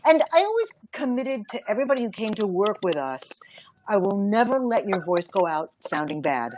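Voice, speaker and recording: A woman's voice: medium pitch, a little hiss or hum. A woman voice director telling anecdotes on a panel in an emphatic way, repeating phrases and acting out quotes. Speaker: animation voice director.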